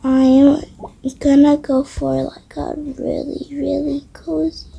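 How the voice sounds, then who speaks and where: A young-sounding female speaker narrating a casual improvised game roleplay in a hushed, hesitant way, often trailing off into whispers. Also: high voice, little pitch movement, slow, creaky. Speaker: roleplay video creator.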